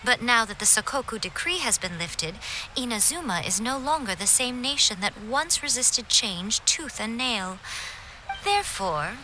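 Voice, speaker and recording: A woman voicing a poised, teasing noble lady, unhurried and playfully condescending, in a video game. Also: on the high side, sharp tone, slight background noise, heavily acted, low-quality recording. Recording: music behind the voice.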